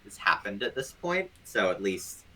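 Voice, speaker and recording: A man's voice: on the low side, big pitch swings, moderate pace, bright, forceful tone, rough recording. A man speaking as a game developer guest in a casual interview, explaining things in an analytical, detailed way.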